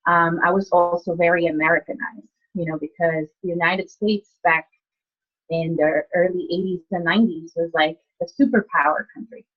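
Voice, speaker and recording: Low-voiced woman from Ecuador, muffled call audio. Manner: chatty, many "you know" and "like". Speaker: guest, nurse and healer. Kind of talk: conversational storytelling.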